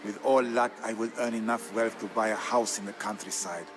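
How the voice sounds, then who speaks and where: A man acting as a solemn Byzantine Greek storyteller, narrating his family's war tale for a game in a grave, measured, theatrical way. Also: mid-range voice, steady tone, over music, lightly acted. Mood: weary, reflective. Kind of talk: dramatic scripted narration.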